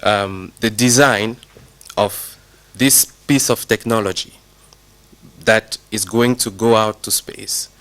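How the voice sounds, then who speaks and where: Measured man explaining space technology. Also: lowish voice, steady tone, fairly bright tone. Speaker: space program consultant guest. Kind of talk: TV interview.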